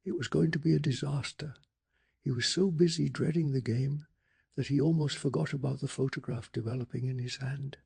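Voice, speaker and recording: A man softly narrating a bedtime story in a hushed, slow and deliberate ASMR style, with a breathy, gravelly voice. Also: mid-range voice, fairly even intonation. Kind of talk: soft-spoken ASMR scripted story narration.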